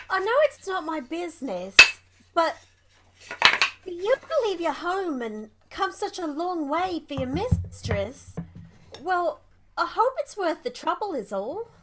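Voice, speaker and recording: A woman's voice: very high-pitched, very animated, slow pace, long pauses, heavy background noise, full character voice. A woman playing a deferential, chatty young servant with homely, ungrammatical speech in an audio drama. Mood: curious, deferential.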